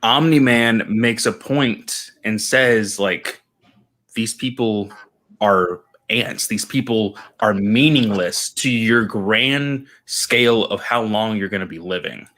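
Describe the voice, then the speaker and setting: A man co-hosting a casual podcast chat, talking in an animated, emphatic way. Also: fairly low pitch, slow pace. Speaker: podcast co-host.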